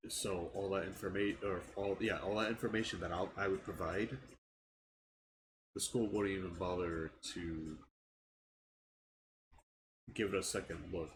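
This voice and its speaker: A young man with a stuffy nose, talking in a casual vlog about his frustrations, halting and restarting his words. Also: deep voice, steady tone, background noise. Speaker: channel owner giving an update. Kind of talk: casual personal vlog rant.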